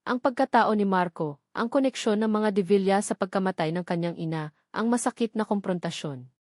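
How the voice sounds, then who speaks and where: A woman's voice: medium pitch, a little mellow, no room sound. Woman narrating a dramatic story, dry close sound.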